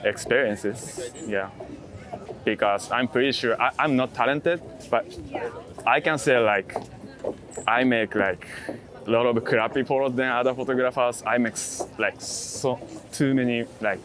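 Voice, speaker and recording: A man chatting casually as an interviewed street photographer, in halting non-native English with dropped articles and plurals. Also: medium pitch. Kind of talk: casual chat. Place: outdoors in a busy city. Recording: busy city crowd noise behind.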